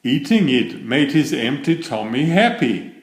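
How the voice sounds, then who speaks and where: A man narrating children's picture books as a storyteller, in an expressive, measured read-aloud style. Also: medium pitch, echoey room.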